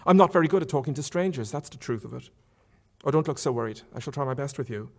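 A man's voice: medium pitch, expressive, quick pace, a little mellow. A man reading aloud who voices a forthright, chatty young woman with a lifted, lilting tone and emphatic questions. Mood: teasing. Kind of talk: author reading a novel aloud.

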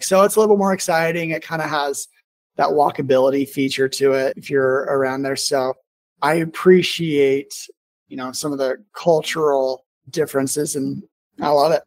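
Higher-voiced man, informal podcast host. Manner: informal, rambling, frequent "like". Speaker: podcast host and business owner. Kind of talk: casual conversational interview.

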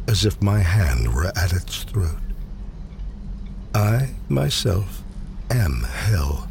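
A man reading poetry aloud in a dramatic recitation, with measured, deliberate phrasing and pauses. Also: lowish voice, creaky, a little hiss or hum. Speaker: poetry reader. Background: faint ambient sound design.

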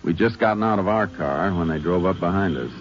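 An actor playing a calm Texas Ranger who narrates an old radio western in a measured, matter-of-fact way. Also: male voice, deep voice, a little hiss or hum, lightly acted. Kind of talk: 1950s radio drama narration and acting. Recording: dull lo-fi old radio recording with music.

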